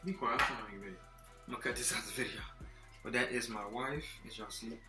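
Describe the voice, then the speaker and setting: A man's voice: mid-range voice, fast talker, roomy sound, music in the background. A young man from Texas casually rambling through a car care product rundown, full of fillers, recorded on a laptop mic in a dim apartment. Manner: rambling, filler-heavy, laid-back. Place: dim apartment living room, indoors. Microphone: laptop built-in mic.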